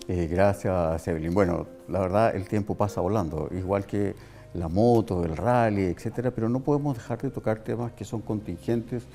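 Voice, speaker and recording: Male Chilean interviewer, soft-toned low voice, emphatic rhetorical delivery. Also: moderate pace, soft background music. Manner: emphatic, oratorical, builds lists. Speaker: talk show host. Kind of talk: interview with long, rhetorical questions.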